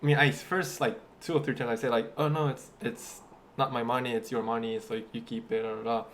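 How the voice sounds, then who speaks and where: A man's voice: mid-range voice, flat delivery, a little fast, a little hiss or hum. Chatty young man. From Japan. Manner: chatty storyteller, many "like" fillers. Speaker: guest. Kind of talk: casual chat.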